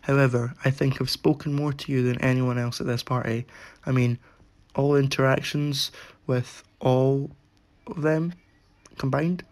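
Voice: medium pitch, fairly even intonation, measured pace, darkish tone, close to an everyday voice. An actor playing an awkward, anxious, self-deprecating young introverted man in short-story dialogue, hesitant and dry.

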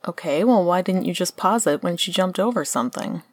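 Woman acting a sardonic low-voiced scheming host. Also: animated, a little fast, a little mellow, dry recording. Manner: sarcastic, grumbling. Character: sardonic, exasperated female scheming otherworldly show host. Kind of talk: comedic radio play acting.

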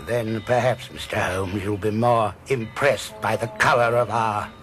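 A man's voice: fairly low pitch, fairly even intonation, unhurried, natural voice. A man playing a formal, menacingly polite villain in a comic radio mystery drama. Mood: coolly menacing. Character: suave, coolly courteous villain. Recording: loud music over the voice.

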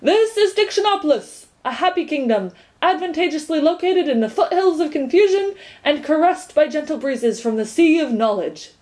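A woman's voice: high-pitched, lively intonation, normal speed, hardly any pauses, darkish tone, voice pushed up. A woman voicing a pompous, impatient male gateman who announces things officiously. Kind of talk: audiobook character voice.

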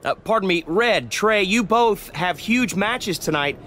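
A courteous backstage interviewer in a wrestling video game. His voice is high and lively. Faint noise sits under it. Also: measured pace, full character voice. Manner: polite, inquisitive. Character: polite male backstage interviewer. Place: backstage locker area.

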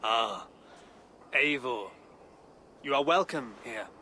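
An actor playing a measured, formal king in a dramatic video game cutscene. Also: mid-range voice, lively intonation, pushed and bright, a little hiss or hum. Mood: measured, reflective. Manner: formal, deliberate.

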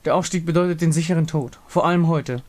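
A man voicing a solemn, fatalistic warrior woman of the moon in a game story, grave, formal and measured. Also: fairly high pitch, fairly even intonation, a little mellow, natural voice. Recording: music behind the voice.

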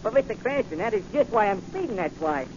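An actor playing an excitable, dim American cab driver in 1940s radio comedy relief, jittery and repeating himself. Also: male voice, high voice, quick pace. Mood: excited, cheerful. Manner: repeats his own phrases, jittery. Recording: muffled, very noisy old radio recording.

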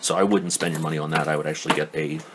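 A man's voice: rumbling low, fairly even intonation, racing, noisy background. A man giving a casual hands-on tool review at his workbench, rambling and skeptical, full of ums and restarts. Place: indoor workbench.

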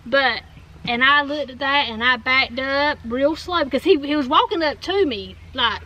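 A woman in her forties with a Southern US accent, telling stories in an animated, chatty way to viewers outdoors in a golf cart. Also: sits high, normal speed, low-quality recording. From North Carolina. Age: 40s. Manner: animated storyteller, addresses viewers as y'all. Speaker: co-host. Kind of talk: casual storytelling chat. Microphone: fixed camera in front.

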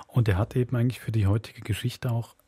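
A man's voice: on the low side, steady tone, quick pace, mellow, husky, no echo. A man hosting a podcast, explaining things in a casual, conversational way. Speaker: podcast host. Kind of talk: casual explanatory chat about a radio play.

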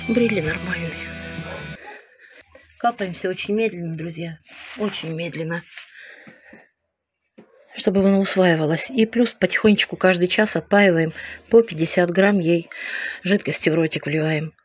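A woman at an animal shelter talks to viewers in a soft, tender, reassuring way as she tends a sick dog. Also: on the low side, moderate pace, pauses often, slightly rough, soft background music. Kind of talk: addressing viewers while caring for a sick dog. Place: shelter room indoors. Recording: loud background noise.

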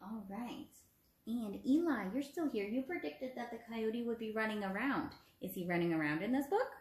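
A woman's voice: fairly high pitch, lively intonation, moderate pace, a little mellow. A woman in her twenties, an encouraging teacher reading aloud and putting questions to young children. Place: small room at home, indoors. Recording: roomy home recording.

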